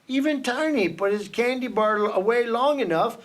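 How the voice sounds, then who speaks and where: A man reading a children's picture book aloud for a reading program, telling the story with dramatic pauses. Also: very high-pitched, fairly bright tone.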